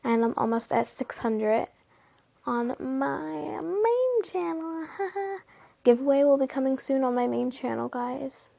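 A teenage girl vlogging from her bedroom at night, rambling casually with lots of fillers, often hushed, her nose stuffy from a cold. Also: high-pitched, expressive, slow pace, creaky. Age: teens. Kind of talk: casual late-night chat to camera. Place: bedroom at night, sitting on bed.

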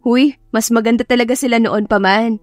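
Woman acting young professional heroine, Filipino accent, good-humoured, casual, higher voice, audiobook romance, music bed. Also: steady tone, brisk, no echo, close to an everyday voice. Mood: casual, teasing. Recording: music behind the voice.

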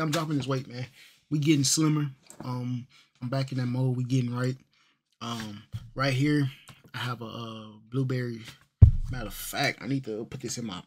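A man, vlogging casually from a small bedroom into a desk microphone, loose and rambling with lots of fillers and slang. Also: mid-range voice. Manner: laid-back, rambling, heavy on fillers and slang. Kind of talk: casual fitness vlog. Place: small bedroom with gaming setup.

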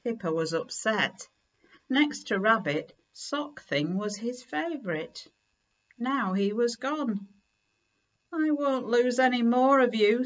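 A middle-aged woman reading a children's picture book aloud in a deliberate, expressive way at home. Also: mid-range voice, highs cut off. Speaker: story reader. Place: living room at home, indoors.

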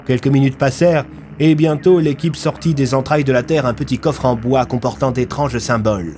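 A man's voice: mid-range voice, fairly even intonation, fairly quick, background noise. A young man telling a scripted mystery story as the dramatic narrator of an audio fiction series. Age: young adult.

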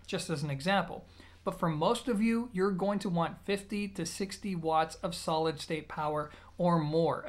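A man, hosting a review in a casual, methodical, conversational way. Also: high voice. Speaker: audio gear reviewer, host. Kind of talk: casual, explanatory product review to camera. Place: small plain-walled room indoors.

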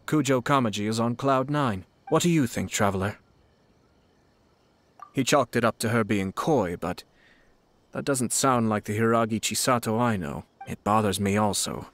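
An actor playing a composed, shrewd young nobleman in a video game, speaking in a formal, measured, diplomatic way. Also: on the low side, close to an everyday voice. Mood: thoughtful. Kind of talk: video game character acting. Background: game music.

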